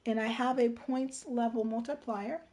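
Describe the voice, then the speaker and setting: The woman you'd find on a late livestream walking viewers through a game-building tool, informal, soft-toned and a little hoarse from talking. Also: on the high side, fairly even intonation, normal speed. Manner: informal, step-by-step explaining, checking in with "right?" and "okay?". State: slightly hoarse, strained voice. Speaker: livestream tutorial host. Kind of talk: casual game-design tutorial.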